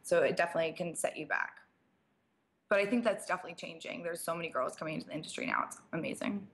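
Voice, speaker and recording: A young woman with a slight rasp, answering fan questions in a loose, chatty way. She fills her talk with "like" and small laughs, and sits a touch lower in pitch than most women. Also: fast, a bit bright. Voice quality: slightly raspy. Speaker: DJ and producer. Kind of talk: casual live-stream Q&A, reading viewer questions.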